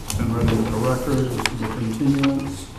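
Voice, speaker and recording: A man chairing a town board meeting, calling votes and moving through the agenda briskly. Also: medium pitch, level tone. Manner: brisk, procedural. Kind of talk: running a public meeting. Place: town hall meeting room. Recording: echoey, distant, noisy room.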